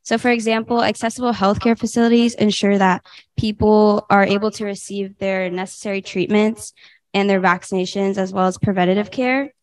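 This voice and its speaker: A teenage girl from California reading her section of a student presentation, halting, with frequent ums. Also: medium pitch, steady tone, darkish tone. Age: teens.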